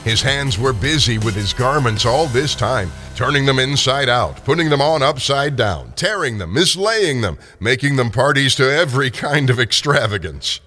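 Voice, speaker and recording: A man narrating a scripted classic tale in a theatrical, expressive storytelling style. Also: medium pitch, normal speed, few pauses, soft background music.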